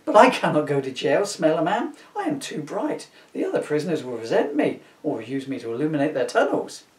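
A man's voice: on the high side, sing-song, a little fast. Man playing a whiny, theatrical supervillain in a roomy space. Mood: self-pitying. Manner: melodramatic, complaining. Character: whiny aggrieved electric supervillain, glowing and theatrical. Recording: roomy, distant camera microphone.

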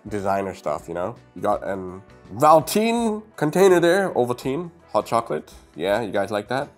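A middle-aged man with a slightly sniffly nose gives a casual, upbeat toy review, speaking straight to his viewers on a clip-on mic. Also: fairly low pitch. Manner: chatty, upbeat, talks to viewers directly. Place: indoor room lined with shelves of brick sets. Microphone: clip-on lapel mic.

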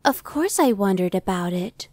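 A woman's voice: mid-range voice, sing-song, moderate pace, darkish tone, no echo. Woman voicing a casual demon scout, dry close sound. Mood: somber. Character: young female demon scout Evanescence, casual, dry-witted, inwardly brooding. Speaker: voice actor. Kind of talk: ASMR roleplay audio drama.

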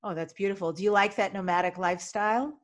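A middle-aged woman hosting a podcast from home. She talks into a desk microphone over a video call, upbeat and enthusiastic, with a steady mid-range voice. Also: medium pitch, quick pace. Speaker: podcast host. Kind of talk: podcast interview. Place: home room with folding screen. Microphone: studio desk mic in front, video call.

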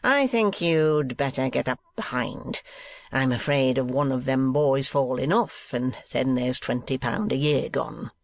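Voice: mid-range voice, measured pace, no echo, clean studio sound, close to an everyday voice. Performer acting coarse, vulgar schoolmaster. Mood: gruff. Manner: coarse, uneducated speech, oily jocularity.

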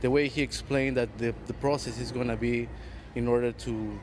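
A man in his thirties from New Jersey speaks at a middle pitch, keen and stumbling over uhs. He holds a handheld mic outdoors. Steady outdoor noise sits behind him. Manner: enthusiastic, halting, many uhs and repeats. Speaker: interviewee. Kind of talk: casual outdoor interview. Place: outdoors in a park. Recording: clear outdoor background noise.

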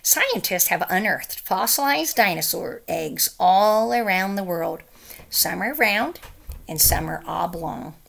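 A woman's voice: fairly low pitch, lively intonation, slow, vocal fry, a bit bright. A middle-aged woman reading a children's picture book aloud, with careful, emphatic phrasing for young listeners. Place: small room at home, indoors.

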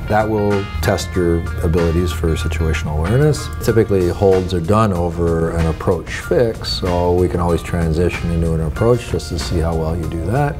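A man's voice: low voice, brisk, few pauses, music in the background. A middle-aged man, a flight instructor, giving a conversational briefing.

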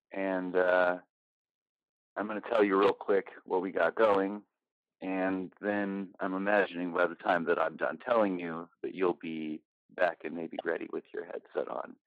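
A man's voice: deep voice, fairly even intonation, unhurried, no echo. A man casually moderating an online group meeting in an informal, loosely phrased way. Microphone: online voice call. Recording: dull lo-fi online call audio.

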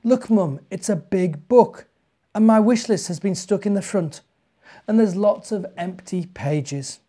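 Eager young storybook boy, played by a man, British, exclamatory, with lifted pitch. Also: unhurried, warm tone. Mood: excited, eager.

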